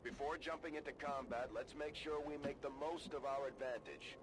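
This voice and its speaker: A man's voice: medium pitch, steady tone, normal speed. An actor playing an alert male tactical officer, advising his commander in an urgent, clipped military manner in a video game. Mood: focused, tactical. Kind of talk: video game radio briefing acting. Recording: game audio with clear background noise.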